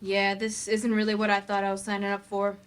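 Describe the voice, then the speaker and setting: A woman's voice: medium pitch, completely flat delivery, a little fast, clear, resonant tone, close to an everyday voice. A woman in a staged play reading, acting a brash, goofy and cocky adult student. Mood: clueless, goofy.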